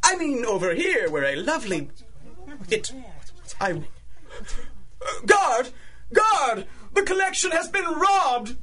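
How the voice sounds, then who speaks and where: A man's voice: extremely high pitch, very animated, slow pace, long pauses, heavily acted. A man playing a pompous museum tour guide in 1930s-style radio drama, speaking in formal, flowery, showy phrases. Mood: panicked. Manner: formal, flowery, proudly showing off exhibits.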